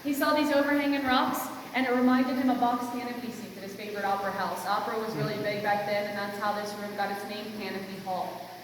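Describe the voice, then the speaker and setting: A woman's voice: medium pitch, fairly even intonation, fast talker, a crowd in the background. A woman from Louisiana working as a cave tour guide, telling stories in a chatty, joking way to her group. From Louisiana, United States. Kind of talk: guided tour narration with casual storytelling. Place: inside an echoing rock cave. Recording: echoey cave, distant mic, noisy.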